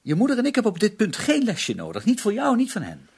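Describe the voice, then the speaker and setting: A very raspy, defensive father. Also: sits high, wildly swooping pitch, fast talker, a little mellow, full character voice. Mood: angry, indignant. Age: middle-aged. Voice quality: raspy, husky. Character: defensive, irritable father protecting his wife. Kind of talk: radio play acting.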